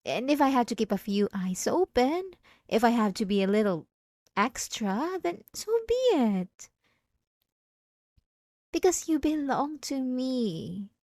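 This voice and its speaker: A woman playing a possessive, obsessive wife in a dramatic ASMR roleplay, speaking in an intimate, taunting and insistent way with drawn-out emphasis. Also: fairly high pitch, animated, a little mellow, dry recording, clean studio sound. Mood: tender, menacing. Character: possessive, obsessive yandere wife, sweetly menacing and manipulative.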